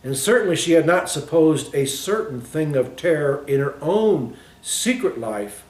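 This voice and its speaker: A man's voice: fairly high pitch, lively intonation, measured pace, a little mellow. A man reading a novel aloud as scripted literary narration. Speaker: audiobook reader. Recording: echoey room.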